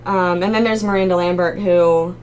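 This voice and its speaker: A young woman with a raspy voice, a singer-songwriter guest chatting casually in an interview with frequent ums. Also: fairly low pitch, steady tone, normal speed, darkish tone, a little hiss or hum. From Maryland, United States.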